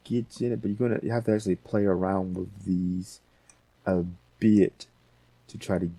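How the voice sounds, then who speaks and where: A man hosting a casual software tutorial, talking informally and thinking aloud as he goes. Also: sits low, steady tone, moderate pace, slightly rough, poor audio. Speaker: audio editing tutorial host.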